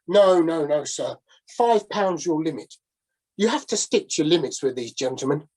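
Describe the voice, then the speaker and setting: A woman voicing a chatty male policeman who talks in a brisk, officious way. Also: low voice, lively intonation, a little mellow, slightly rough. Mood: indignant.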